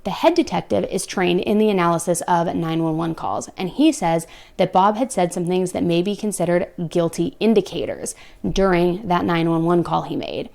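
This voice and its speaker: A woman's voice: lowish voice, normal speed, vocal fry, darkish tone. Blunt young woman telling a true crime case. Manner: blunt, exasperated asides to viewers. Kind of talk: true crime storytelling with commentary.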